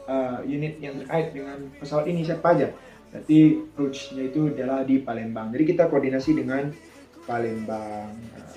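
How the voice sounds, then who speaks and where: Mellow, echoey man explaining casually. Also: fairly high pitch. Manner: chatty, thinking aloud with rhetorical questions. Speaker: air traffic controller presenting a tutorial. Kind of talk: informal explanatory tutorial. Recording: echoey room with background music.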